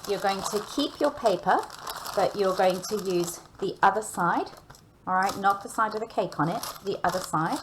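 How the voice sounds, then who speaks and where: A woman's voice: mid-range voice, moderate pace, noisy background. A woman giving a hands-on baking demonstration, talking learners through each step in a chatty, instructional way and often checking in. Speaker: cooking and baking teacher.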